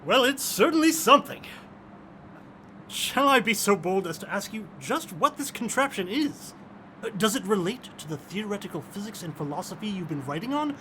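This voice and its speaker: A loyal, wary gentleman in a radio play. His voice is high and swings expressively through formal, wordy lines, with some noise behind him. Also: sing-song, normal speed, exaggerated voice, poor audio. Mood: wryly curious. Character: loyal, educated gentleman, the scientist's wary best friend. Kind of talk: theatrical radio drama acting.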